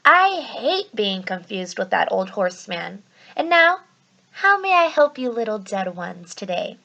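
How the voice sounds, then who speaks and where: A woman voicing a sly, condescending male ferryman of the dead in a storybook, with an affected British accent and drawn-out, smooth emphasis. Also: medium pitch, big pitch swings, pushed and bright, muffled recording, full character voice. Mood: smug, dry.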